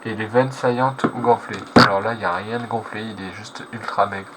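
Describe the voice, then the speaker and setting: A man's voice: lowish voice, steady tone, fairly quick. A man giving casual, slangy reaction commentary, hesitating and correcting himself as he goes. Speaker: reaction video host. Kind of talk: casual live reaction commentary, translating on the fly. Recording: clearly noisy background.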